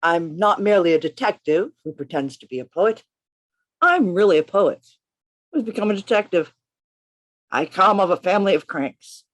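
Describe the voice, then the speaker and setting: A woman's voice: fairly low pitch, expressive, no room sound. A woman playing a bold, defiant male undercover detective in an amateur radio play reading, with emphatic, declamatory delivery over a video call. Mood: wry narration. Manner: declamatory, emphatic, deliberate phrasing.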